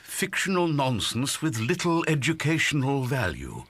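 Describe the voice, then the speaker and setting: An actor playing a mild, flustered gentleman in a radio drama, hesitant and understated. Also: medium pitch, wildly swooping pitch, moderate pace, no echo. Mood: dejected.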